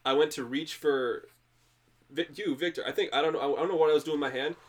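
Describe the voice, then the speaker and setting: A man's voice: a little high, quick pace. A man hosting a casual podcast, telling a story with frequent laughs and restarts. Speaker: podcast host. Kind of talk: casual chat.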